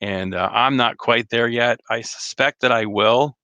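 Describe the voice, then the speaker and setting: Guy rambling on a live rv chat, kinda hoarse, bright tone, dry close sound. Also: mid-range voice, a little slow, no room sound, lo-fi sound. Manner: rambling, chatty, many fillers and restarts. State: slightly hoarse. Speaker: RV vlogger hosting a live chat. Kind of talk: casual live-stream chat.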